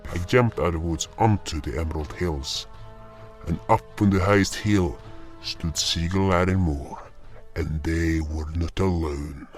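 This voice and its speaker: A man playing a grim, furry, clawed hunter who narrates a fantasy audio drama in a dramatic, deliberate way. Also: low voice. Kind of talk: scripted first-person story narration. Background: faint music.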